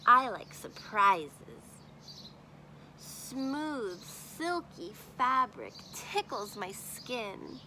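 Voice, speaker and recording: A woman teacher reading a picture book aloud to children expressively, pausing to think aloud and pose questions. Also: fairly high pitch, big pitch swings, sharp tone, a little hiss or hum.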